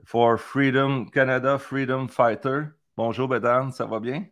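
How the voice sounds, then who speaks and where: A man hosting an interview, speaking slowly and hesitantly with a Québécois French accent, switching between French and English. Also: mid-range voice, steady tone, fairly bright tone. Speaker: host, columnist.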